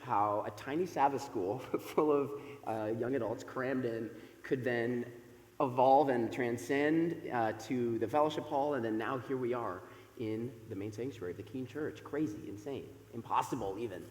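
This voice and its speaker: Chatty thirty-something pastor in an echoey sanctuary. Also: male voice, mid-range voice, normal speed. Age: 30s. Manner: loud, warm and chatty with many fillers. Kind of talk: sermon. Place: church sanctuary. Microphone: microphone over a PA system. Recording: echoey church hall with long reverb.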